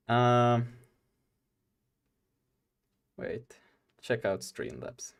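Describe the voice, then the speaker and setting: Young guy rambling to his stream chat, laughs a lot, mid-pitched voice. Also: big pitch swings, extremely slow, vocal fry, clean tone. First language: Swedish. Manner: giggly, rambling, talks to chat. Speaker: game dev streamer. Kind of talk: casual live-stream chat.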